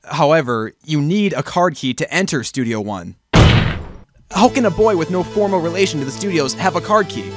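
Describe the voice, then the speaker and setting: A man's voice: fairly high pitch, animated, normal speed, lightly acted. A man voicing an earnest young defense lawyer with wry sarcasm in an amateur courtroom fan dub. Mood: assertive. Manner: emphatic, wry asides. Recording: music behind the voice.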